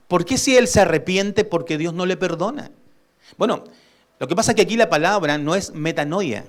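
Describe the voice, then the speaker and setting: A male pastor with a somewhat high voice delivers an emphatic sermon. He throws questions out to the congregation and stretches certain words slowly for weight. He drops into quieter asides between louder points. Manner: emphatic preaching that asks the congregation for responses; swings between loud emphasis and soft asides.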